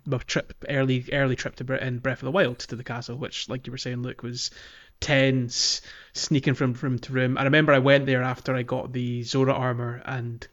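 A man's voice: medium pitch, little pitch movement, normal speed, few pauses, fairly bright tone. Man chatting on a gaming podcast, slightly dull sound. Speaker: podcast host. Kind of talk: casual video game discussion.

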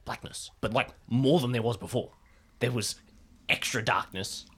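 Dazed, nervous lost man for a tabletop game, played by a man: husky, bright-edged, hesitant, repeats himself. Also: mid-range voice, normal speed. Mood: bewildered. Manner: hesitant, repetitive.

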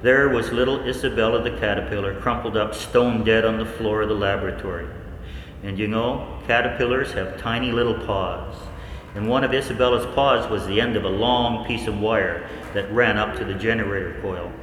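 A man plays a reflective, determined researcher narrating a vintage 1940s radio drama. He tells it deliberately, repeating phrases for weight. The sound is roomy and reverberant, with faint noise behind. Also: lowish voice, fairly bright tone, natural voice. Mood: reflective, wondering. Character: Paul, researcher recounting his time-machine experiment, reflective and determined. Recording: echoey hall, faint background noise.

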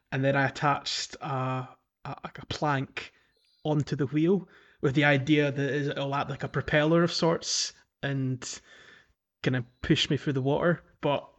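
A man talking casually and enthusiastically about video games as a podcast host, in a chatty way. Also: a little high. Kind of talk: casual video game chat.

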